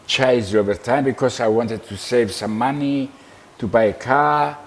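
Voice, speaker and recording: An older man from Cyprus, recalling his life in an interview in a halting, repetitive way. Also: mid-range voice. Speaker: interview guest. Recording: reverberant room with background noise.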